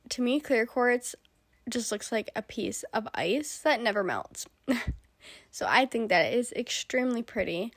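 A woman's voice: on the high side, no room sound, clean studio sound. Congested young woman podcast host. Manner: chatty, many ums, laughs often. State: sick, congested. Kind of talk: casual solo podcast chat.

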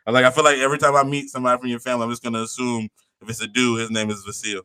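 A man's voice: mid-range voice, little pitch movement, racing, bright, forceful tone, clear, resonant tone, no room sound. A young man co-hosting a podcast, chatting in an easygoing, slangy, rambling way. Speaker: podcast co-host. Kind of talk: casual chat.

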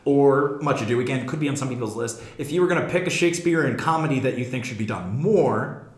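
A woman's voice: a bass voice, fast talker. Woman moderating a chat in echoey room. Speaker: podcast co-host steering the discussion. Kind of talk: casual panel discussion. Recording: echoey, roomy sound.

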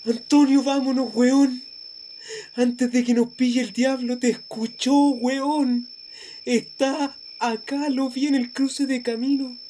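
A woman's voice: high-pitched, fairly even intonation, slow pace, dark tone, slight background noise, poor audio. A woman with a Chilean accent acting an urgent, pleading, frightened young friend in a radio drama. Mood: urgent, scared. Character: frightened young friend who saw the devil.

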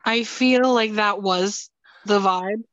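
A woman's voice: medium pitch, slow, no echo. Woman podcast cohost, dry muffled sound, tired self-deprecating jokes. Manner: self-deprecating, drowsy joking. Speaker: podcast co-host. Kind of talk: casual chat.

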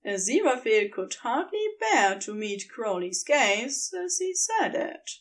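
Woman narrator, scripted fiction, fairly high voice, very varied melody, storytelling delivery. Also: big pitch swings, unhurried.

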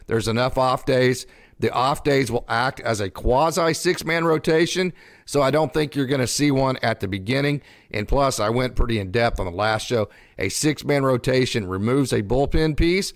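A bilingual man hosts live sports talk in a dry, close sound. He is effusive and emphatic, chatting casually with his audience. His voice sits at an ordinary male pitch. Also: dry recording. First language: bilingual English and Spanish. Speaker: live show host reading viewer messages.

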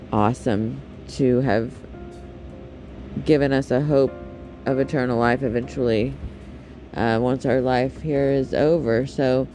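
A man with a deep, raspy voice, chatting casually and candidly on his solo podcast, with frequent ums and self-corrections. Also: darkish tone. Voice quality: deep and raspy, especially in the morning. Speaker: podcast host.

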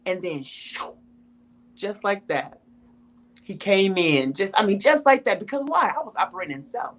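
A woman's voice: medium pitch, expressive, normal speed, fairly bright tone. A woman in her thirties telling her personal testimony in an interview, emphatic and repeating phrases for weight. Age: 30s. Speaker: interview guest.

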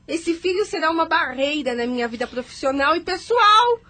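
A woman's voice: high-pitched, animated, normal speed, bright, forceful tone. An actress playing a distressed, defiant young expectant mother with a career, in emotional, dramatic radio drama style.

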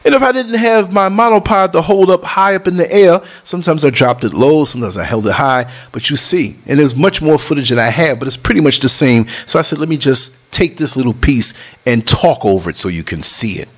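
A man's voice: animated, a little fast. Emphatic New man preaching unity. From New York City. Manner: emphatic, preachy, jumps between high and low tones. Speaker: vlogger and podcast host. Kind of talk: casual talk over concert footage.

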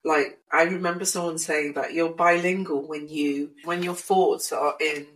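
A woman's voice: deep voice, moderate pace, a little hiss or hum, low-quality recording. A woman in her thirties, a guest sibling, chatting casually on a podcast and laughing often as she tells stories. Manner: relaxed storytelling, laughs often.

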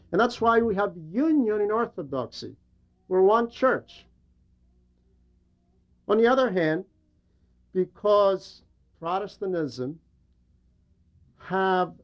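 A man's voice: high-pitched, highs cut off. A man delivering an explanatory religious homily in a didactic way, repeating phrases for emphasis. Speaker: preacher and religious teacher.

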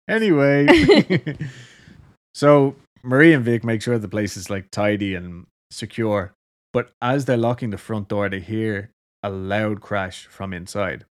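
A man's voice: on the low side, lively intonation, takes it slowly. A man with an Irish accent casually telling a story to a co-host, laughing often and swearing freely. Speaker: podcast co-host.

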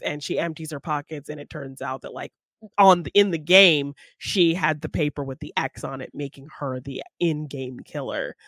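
A woman's voice: deep voice, fairly bright tone, no echo. A woman co-hosting a podcast, casually talking through a film and acting out bits of dialogue as she retells it. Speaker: podcast co-host.

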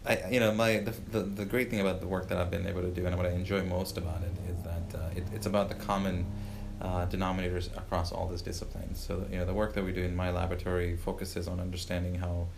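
A man in his thirties from Uganda, a professor answering interview questions in a measured way, with many "uh" fillers and restarts. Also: deep voice, little pitch movement, vocal fry, noisy background. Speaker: professor and guest. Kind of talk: podcast interview.

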